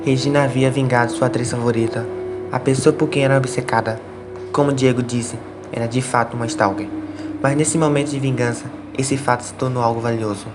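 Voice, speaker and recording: A man's voice: mid-range voice, steady tone, brisk, background noise. A man reading scripted narration as the narrator of an audio drama. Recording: loud music under the voice.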